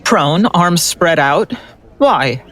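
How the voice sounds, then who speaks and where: A woman's voice: low voice, animated, drawn-out words, a bit bright, heavily acted. A woman playing a skeptical, no-nonsense forensic doctor who gives curt, sardonic replies. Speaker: forensic analyst.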